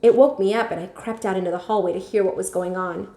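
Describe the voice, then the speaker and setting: A woman narrating a children's story aloud like a teacher, expressively and with clear phrasing. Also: medium pitch, steady tone, quick pace, mellow. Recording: echoey room.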